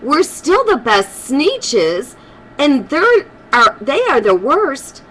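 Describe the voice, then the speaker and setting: A woman's voice: sits high, big pitch swings, slow, fairly bright tone, slight background noise, pitched above the performer's own voice, full character voice, poor audio. A woman voicing haughty, snobbish storybook creatures with proud declarations. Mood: indignant.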